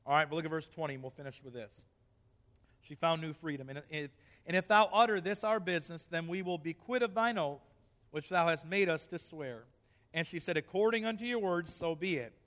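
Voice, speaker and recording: A man's voice: on the high side, brisk, a bit bright, long reverb tail. A male pastor preaching a sermon in a church, reading scripture aloud and then pressing his point with rising emphasis.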